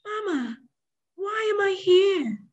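A woman's voice: extremely high pitch, lively intonation, slow pace, far from the mic, highs cut off, pitched above the performer's own voice, exaggerated voice. A woman reading a story aloud, voicing a distressed, timid captive woman who pleads and calls out. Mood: timid pleading. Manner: pleading, calling out.

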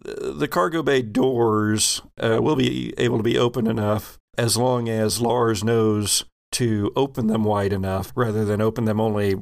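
A man improvising narration as a tabletop game master, describing scenes in a conversational, halting way. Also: fairly low pitch, steady tone, gravelly.

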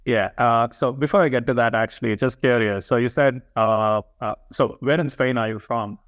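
A man's voice: mid-range voice, steady tone, normal speed, dry recording, studio quality. A man from India with an Indian accent, talking conversationally and reflectively as a podcast co-host. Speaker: co-host, engineering professor.

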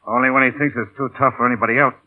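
Actor as brisk veteran flier, tinny radio. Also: male voice, medium pitch, fairly even intonation, natural voice. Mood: dry, matter-of-fact. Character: loyal, plain-spoken veteran male flier. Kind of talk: 1940s radio drama acting. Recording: tinny, band-limited old radio broadcast.

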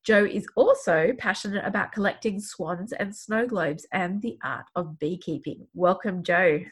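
Husky woman with a head cold. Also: mid-range voice, measured pace. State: head cold, sniffly and clogged. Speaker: podcast host. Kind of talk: scripted podcast intro and casual chat.

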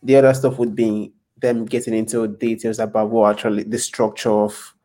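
Male podcast guest from Nigeria, lower voice, hesitant and reflective. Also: flat delivery, normal speed, dark tone. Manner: hesitant, thoughtful, many ums and restarts. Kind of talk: casual interview chat.

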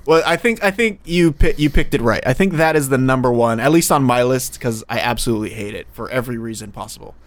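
A man's voice: mid-range voice, quick pace, hardly any pauses, no room sound. A man in his thirties co-hosting a podcast, joking and teasing in casual chat. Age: 30s. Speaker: podcast co-host.

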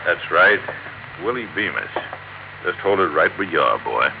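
A man's voice: low voice, sing-song, moderate pace, creaky, heavily acted. An American actor playing a smug, menacing gunman in a 1950s radio crime drama, taunting in tough-guy talk. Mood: smug menace. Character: menacing, smug male gangster with a gun. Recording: muffled, noisy old radio recording.

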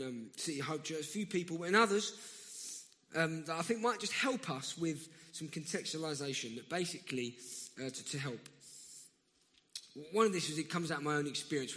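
South London preacher in a reverberant church. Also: male voice, on the high side, a bit bright. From South London, England. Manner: conversational, self-interrupting, rising to emphatic bursts. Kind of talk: sermon. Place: church hall.

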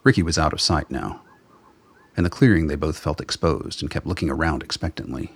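A man's voice: very deep voice, fairly quick, slightly rough. A man narrating a scripted story for a radio play.

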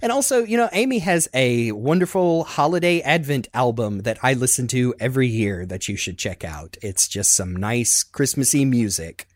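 A man's voice: medium pitch, expressive, normal speed, few pauses, no echo, clean studio sound. A man hosting a casual podcast, chatting in a rambling, self-deprecating way. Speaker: podcast host.

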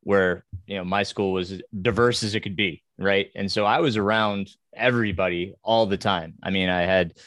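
Man, low voice, podcast host, hesitant, laughs a lot, dry close sound. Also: fairly even intonation, moderate pace, few pauses, fairly bright tone, no room sound. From New York. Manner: hesitant, laughs often, fillers. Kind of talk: casual panel discussion.

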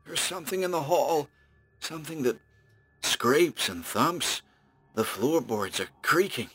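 A man's voice: expressive, measured pace, fairly bright tone, a little hiss or hum. A man doing a panicked trapped radio announcer. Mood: terrified. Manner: frantic, pleading, rising to shrill panic. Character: terrified male radio announcer chained in a house. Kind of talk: audiobook character voice.

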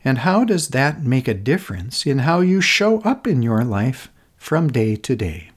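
Reflective American man, expressively varied pitch. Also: very animated, husky. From Minnesota, United States. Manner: contemplative, gentle, deliberate phrasing with emphatic repetition. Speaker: podcast host. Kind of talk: reflective scripted narration.

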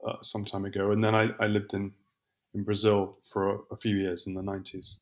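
A middle-aged man, a musician guest on a podcast, talking reflectively with many pauses and restarts. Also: on the low side, little pitch movement. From England. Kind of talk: podcast interview.

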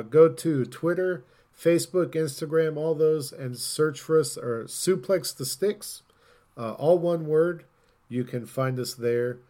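A man's voice: mid-range voice, darkish tone. A man co-hosting a podcast, chatting casually about gaming news in an informal, rambling way. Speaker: podcast host.

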